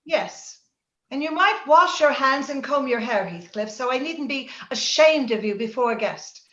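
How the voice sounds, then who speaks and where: Female actor as willful, passionate young moorland heroine, high voice, old-time radio theatrics, echoey room on a video call. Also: expressive, normal speed, sharp tone. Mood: haughty. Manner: theatrical, impetuous. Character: Kathy, passionate, willful young woman of the moors. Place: indoor room, remote video-call session. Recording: roomy, dull video-call audio, faint noise.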